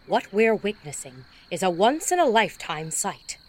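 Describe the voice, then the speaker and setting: A woman's voice: mid-range voice, very animated, normal speed, darkish tone. A woman playing an earnest, fascinated paranormal investigator in an audio drama, explaining things like a documentary narrator. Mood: hushed wonder.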